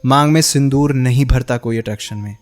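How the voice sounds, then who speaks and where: A man's voice: mid-range voice, fairly quick, faint music behind, natural voice. An actor playing a bitter, heartbroken young man in a radio drama, sarcastic and accusing as he confronts the woman he loved. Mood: bitter, wounded. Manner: sarcastic, accusing, with pointed rhetorical questions. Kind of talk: Hindi radio play acting.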